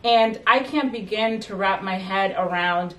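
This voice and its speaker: A woman hosting an interview, speaking in a halting way with many fillers and restarts. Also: mid-range voice, roomy sound, distant mic, poor audio. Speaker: host and magazine editor. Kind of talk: conversational interview.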